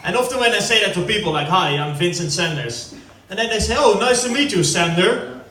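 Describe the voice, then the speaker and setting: A Dutch-accented man doing rambling stand-up with a high voice and a lot of laughter. He is recorded from a distance in an echoey hall, and a party crowd can be heard around him. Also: expressive, a little fast. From Netherlands. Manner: chatty, rambling, laughing often. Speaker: stand-up comedian. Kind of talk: stand-up comedy at a family party. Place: former football club canteen. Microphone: corded handheld mic.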